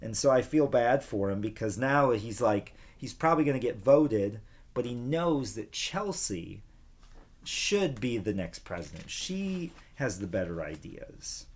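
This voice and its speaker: A man narrates a children's chapter book in an expressive, swooping voice. He takes his time, pauses for drama, and steps out of the text for casual comments to his young audience. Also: medium pitch. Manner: animated, dramatic pauses, questions to listeners. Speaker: read-aloud storyteller for children.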